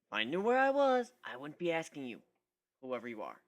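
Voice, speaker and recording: A man playing a wisecracking, excitable young male griffin in a theatrical, sarcastic story reading. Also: a little high, fairly quick. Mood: feigned confusion.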